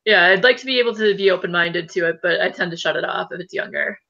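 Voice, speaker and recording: Woman, low bright voice, hesitant and filler-heavy, muffled webcam audio. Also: fast. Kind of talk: casual chat.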